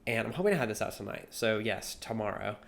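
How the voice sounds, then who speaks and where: A man of about twenty with a slightly low voice. He talks casually to camera like a vlogger, earnest and a bit rambling as he shares his thoughts. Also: animated, quick pace, vocal fry. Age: 20 years old. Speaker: vlogger and young entrepreneur.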